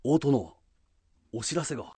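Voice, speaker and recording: Humble samurai retainer for radio drama, formal and deferential, medium pitch, very expressive. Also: male voice, a little slow, slightly rough, dry recording. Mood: earnest reporting. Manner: formal, respectful archaic speech.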